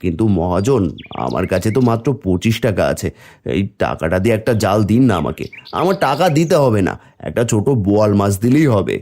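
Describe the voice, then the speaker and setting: A man voicing a poor, gentle village father in a cartoon, with a soft-hearted, pleading way of talking. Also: on the low side, big pitch swings, fairly quick. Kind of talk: Bengali cartoon dialogue.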